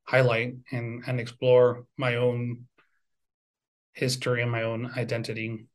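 Guy from venezuela, middle pitched, thinking out loud in an interview, repeats little words a lot. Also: monotone, a little slow. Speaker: composer and university music teacher, guest. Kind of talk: reflective interview talk.

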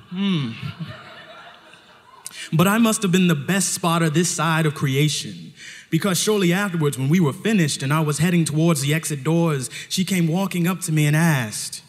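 A man performing a personal story live on stage, theatrically, with dramatic pauses and poetic phrasing mixed with slang. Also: a little high, animated. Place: live stage show in a hall. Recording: reverberant hall, live on stage.

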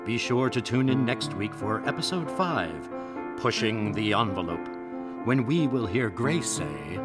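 A man announces radio theatre credits in a lowish voice with very lively intonation. Music plays loudly underneath him. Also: measured pace. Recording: loud music bed behind.

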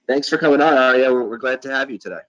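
Male podcast host, mid-pitched, upbeat, reads a bio smoothly. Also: rapid-fire, fairly bright tone, dull audio. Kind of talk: podcast introduction and interview.

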